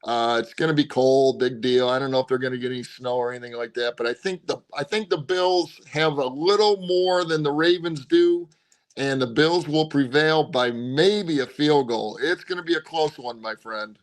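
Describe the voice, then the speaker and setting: A man co-hosting a sports podcast, giving his picks in casual chat, animated and stressing points with a dropped voice. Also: normal speed. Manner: animated, drops pitch to stress points, frequent restarts.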